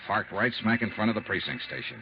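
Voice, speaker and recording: An actor playing a tough, sardonic investigator who wisecracks and narrates in clipped hard-boiled style in a 1950s radio drama. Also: male voice, on the low side, lively intonation, fast talker. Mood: tense narration. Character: tough, sardonic, unbribable male insurance investigator. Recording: dull, noisy 1950s radio recording.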